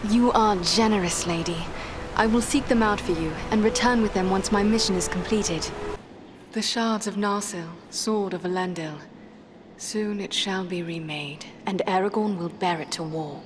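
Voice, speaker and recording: An actress playing a courteous, earnest elven warrior in a fantasy game, with composed, formal phrasing. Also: female voice, medium pitch, normal speed, background noise, lightly acted. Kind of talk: dramatic fantasy video game acting.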